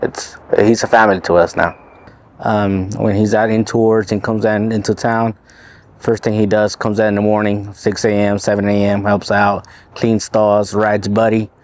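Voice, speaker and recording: Relaxed low-voiced ranch owner. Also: male voice, normal speed. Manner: relaxed, hesitant, many fillers. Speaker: horse trainer, ranch owner. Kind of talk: documentary interview.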